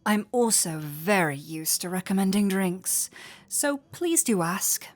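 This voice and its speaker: Slow, teasing fantasy innkeeper played by a woman. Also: medium pitch, big pitch swings. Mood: welcoming, wry. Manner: playful, coy, teasing, unhurried. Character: flirty, teasing androgynous tavern innkeeper in a fantasy world. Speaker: voice actor in audio roleplay. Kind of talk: intimate fantasy roleplay addressed to the listener.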